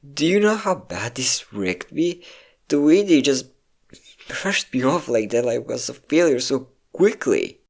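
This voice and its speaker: A man playing a depressed young man who tearfully confides in his best friend, halting and self-deprecating, in an intimate audio roleplay. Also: mid-range voice, big pitch swings, gravelly, full character voice. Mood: distraught, self-blaming. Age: young adult.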